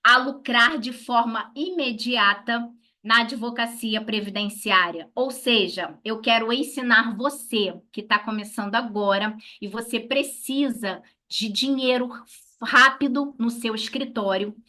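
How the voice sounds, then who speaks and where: Bright-voiced Brazilian woman teaching. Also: on the high side, measured pace. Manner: didactic, asking and answering own questions. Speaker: lawyer teaching a class. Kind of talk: instructional talk to colleagues.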